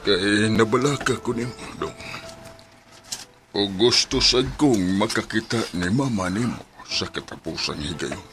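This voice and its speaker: A man's voice: lowish voice, a little slow, close to an everyday voice. An actor playing a remorseful, pleading middle-aged father in a radio drama, with a Cebuano Filipino accent. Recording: music loud behind radio drama.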